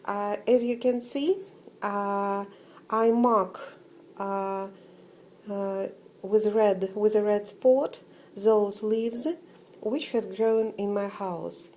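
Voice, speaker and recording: A woman whose first language is Russian speaks slowly and haltingly, often restarting her sentences and filling gaps with "uh". Her voice is soft and mellow, with a faint hiss underneath. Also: medium pitch, steady tone. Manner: hesitant, many fillers and restarts. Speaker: orchid-growing vlogger. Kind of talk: casual home vlog.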